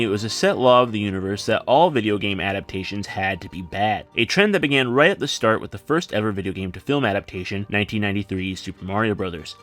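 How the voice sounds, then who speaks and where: A man's voice: on the low side, fairly bright tone. A man in his thirties delivering scripted video essay narration in a wry, sarcastic way. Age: 30s. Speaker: film review channel host. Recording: music behind the voice. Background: background music.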